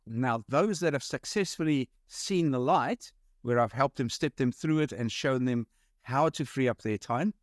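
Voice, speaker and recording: A middle-aged man with a South African accent, answering interview questions as a business consultant, halting with many fillers and restarts. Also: mid-range voice, no room sound, studio quality.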